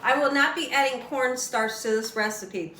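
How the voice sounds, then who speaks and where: A woman hosting a home cooking show, chatty and folksy, slightly hoarse as she loses her voice, often asking "right?". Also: high-pitched, normal speed, bright, forceful tone, echoey room, distant mic, poor audio. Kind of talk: casual cooking demonstration. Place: home kitchen.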